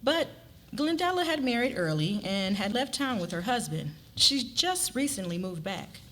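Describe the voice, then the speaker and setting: A woman's voice: medium pitch, big pitch swings, moderate pace, a bit bright. A woman narrating a scripted story expressively at a live dramatic reading. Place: live event before an audience. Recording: live reading with clear ambient noise.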